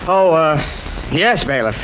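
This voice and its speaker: A man's voice: a little high, lively intonation, slow, noisy background. A man playing a brusque, stubborn jury foreman in an old-time radio drama, blunt and argumentative. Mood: businesslike.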